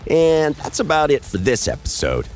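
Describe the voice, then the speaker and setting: A man's voice: on the high side, wildly swooping pitch, normal speed, a little mellow, faint music behind. A man giving scripted voice-over narration of game strategy tips in a polished, upbeat delivery.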